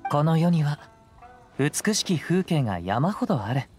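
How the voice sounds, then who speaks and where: A man voicing a calm, poetic young wandering samurai in an anime game, using composed archaic speech. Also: on the high side, unhurried, soft background music. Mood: calm, gentle.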